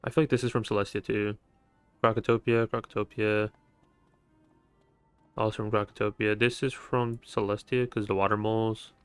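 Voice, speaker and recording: Low-pitched young man, casual gamer. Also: level tone, normal speed, long pauses, clean tone, no room sound, soft background music. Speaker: gaming video host. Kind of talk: casual gameplay commentary.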